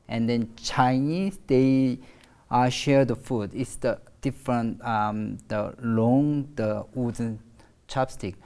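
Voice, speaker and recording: Korean-accented woman, middle-aged, very low voice, slow halting delivery, interview guest speaking in her second language. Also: fairly even intonation, dark tone. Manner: halting, many fillers and restarts. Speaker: artist guest. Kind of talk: interview in English.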